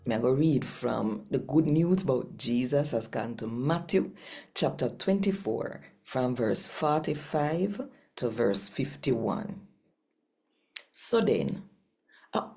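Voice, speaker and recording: A woman with a Jamaican accent speaking deliberately as a host and scripture reader. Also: low voice, creaky, warm tone. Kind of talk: channel talk and expressive Bible reading.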